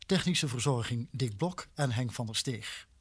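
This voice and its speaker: A man's voice: mid-range voice, expressive, a little slow, husky. A man reading scripted closing credits as a radio drama announcer.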